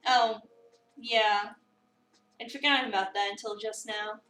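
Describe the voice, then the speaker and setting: A woman's voice: on the high side, fairly even intonation, a little slow, bright, forceful tone, pitched below the performer's own voice. A woman playing a shy, awkward, flirty new cafe worker in a visual novel, flustered and self-conscious. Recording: roomy, distant mic, music behind.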